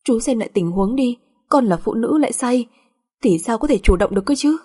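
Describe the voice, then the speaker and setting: A woman's voice: medium pitch, a little fast, warm tone, slightly rough, close to an everyday voice. A woman voicing a timid young wife and mother, deferential and emotional, in an audio drama. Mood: hurt, accusing.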